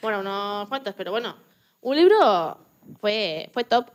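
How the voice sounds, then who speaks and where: A woman from playing a lively, joking retired Antarctic scientist in her eighties in a radio interview, often restarting her sentences. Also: medium pitch. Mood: chatty, playful. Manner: chatty, joking, halting with restarts. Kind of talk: scripted mock radio interview.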